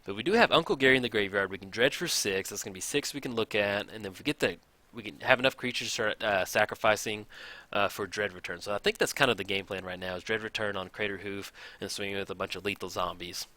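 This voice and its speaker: A man casually commenting on a card game match, thinking aloud, his voice a little raspy from hours of recording. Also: on the low side, quick pace, no room sound. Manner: chatty, thinks aloud, counts out loud. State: slightly raspy from long recording. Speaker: gameplay commentator.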